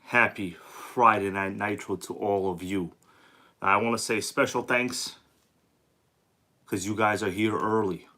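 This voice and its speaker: A man's voice: mid-range voice, pushed and bright. A man hosting a casual livestream, chatty and slangy, greeting viewers by name, with a sore throat and a congested voice. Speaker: livestream host. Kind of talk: casual live-stream chat.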